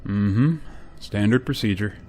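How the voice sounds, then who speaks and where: A man playing a dutiful, measured spaceship pilot in an old-time style radio drama. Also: fairly low pitch, a little mellow, slight background noise, close to an everyday voice. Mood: casual, dutiful. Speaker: radio drama actor and in-character narrator. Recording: music under the voice.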